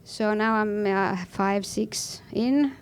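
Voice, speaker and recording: Clear-voiced woman with an Estonian accent. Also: mid-range voice, slow pace. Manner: blunt, informal, non-native phrasing. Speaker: panel guest, professional snowboarder. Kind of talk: conversational personal account on a panel.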